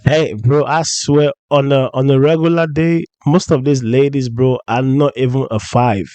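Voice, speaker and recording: A man with a flu-roughened voice, hosting a reaction channel and commenting bluntly and jokingly on clips. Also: mid-range voice, fairly even intonation, moderate pace, a little mellow, no echo. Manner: blunt, joking, repeats himself. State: hoarse from flu.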